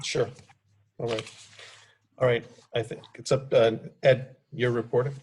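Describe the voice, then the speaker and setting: Male vice mayor chairing, relaxed and informal, faint background hiss. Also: mid-range voice, a little slow. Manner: casual, hesitant, moves things along. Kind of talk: chairing a council meeting.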